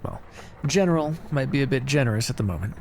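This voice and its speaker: A man playing a kindly, encouraging necromancer in an ASMR fantasy roleplay, speaking in a gentle, reassuring, conversational way. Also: medium pitch, animated, quick pace, creaky, slight background noise. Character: kindly, encouraging necromancer master, earnest and casual.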